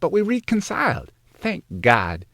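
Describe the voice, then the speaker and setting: An actor playing a devoted but anxious, scheming husband in an audio drama. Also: male voice, high-pitched, huge pitch swings, measured pace, gravelly, fairly bright tone, dry recording, studio quality, exaggerated voice. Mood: anxious, earnest.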